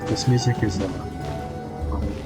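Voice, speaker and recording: A man's voice: fairly low pitch, steady tone, measured pace. A man giving casual, laid-back game commentary, thinking aloud as he plays. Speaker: gamer, video creator. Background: loud game music.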